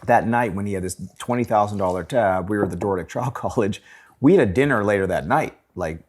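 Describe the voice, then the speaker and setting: A man's voice: lowish voice, a little fast, darkish tone. A man hosting a podcast, talking in a laid-back, joking way and sometimes trailing off. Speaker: podcast host. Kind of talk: casual podcast chat.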